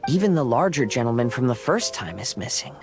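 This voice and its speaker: An actor playing a calm, observant young swordsman in a video game, measured and courteous. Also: mid-range voice, over music. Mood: thoughtful. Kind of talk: video game cutscene acting.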